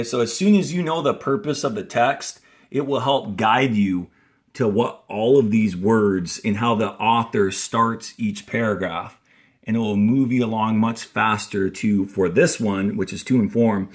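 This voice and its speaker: A male elementary teacher with a mid-pitched voice gives an instructional reading lesson. He explains things step by step and reads aloud clearly for children, pausing to stress the key words. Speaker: fourth grade teacher.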